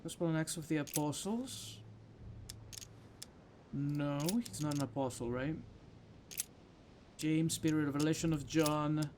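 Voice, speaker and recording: A man's voice: a little high, measured pace, long pauses, background noise. A man giving casual commentary as he plays a video game, muttering to himself as he decides what to do. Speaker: gamer commentating on playthrough.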